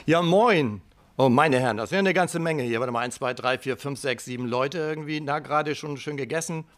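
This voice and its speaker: A man's voice: medium pitch, fairly quick, close to an everyday voice. A man acting as a friendly school headmaster in a radio play. He talks in a wordy, colloquial way and often hesitates, sounding cautious and slightly worried. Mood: casual, concerned.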